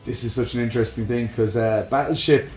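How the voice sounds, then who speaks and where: A man's voice: medium pitch, fairly even intonation, brisk, a little mellow, echoey room, soft background music. A man co-hosting a casual chat about films and games, talking in a rambling, enthusiastic fan's way. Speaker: podcast co-host.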